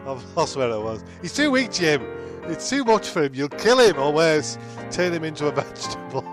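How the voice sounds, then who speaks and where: A man's voice: fairly high pitch, sing-song, moderate pace, over music. A man voicing John, a rambling, swearing male castaway with an Australian accent. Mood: ranting.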